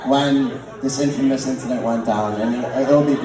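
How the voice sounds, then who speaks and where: A man's voice: mid-range voice, fairly even intonation, moderate pace. A young man telling a rambling, informal comedy story to a live audience, often interrupting himself. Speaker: amateur stand-up storyteller. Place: large echoey indoor space with live audience. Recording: echoey room from far off, audience noise. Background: audience laughter and chatter.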